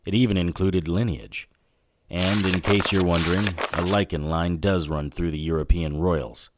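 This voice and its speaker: An actor playing a sardonic 1940s detective who narrates a noir audio drama and trades wisecracks. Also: male voice, sits low, fairly even intonation, moderate pace, very noisy, close to an everyday voice. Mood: grim, weary. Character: wry, hard-boiled 1940s male occult detective narrator.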